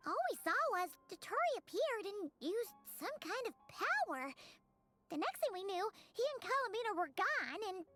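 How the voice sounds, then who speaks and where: Squeaky childlike game companion. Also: female voice, sing-song, normal speed, sharp tone, soft background music, full character voice. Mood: alarmed. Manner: squeaky, exclamatory, emotional. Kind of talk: anime-style video game acting.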